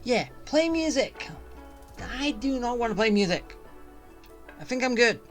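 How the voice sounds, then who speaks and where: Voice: mid-range voice, expressive, measured pace, a bit bright. Grumbling game streamer over music. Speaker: gaming streamer. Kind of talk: casual gameplay commentary.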